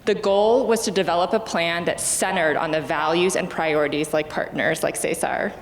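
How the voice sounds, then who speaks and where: A woman with a slightly low voice gives a prepared civic presentation in a steady, scripted way. Her voice rings through a large hall with long reverb. Faint noise sits underneath. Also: normal speed. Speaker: city food policy staff presenter.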